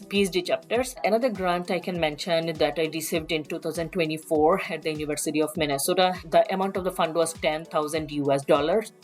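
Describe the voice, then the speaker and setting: A woman in her thirties from Bangladesh with a Bangladeshi accent, giving a measured self-introduction as a research mentor with occasional fillers. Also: low-pitched.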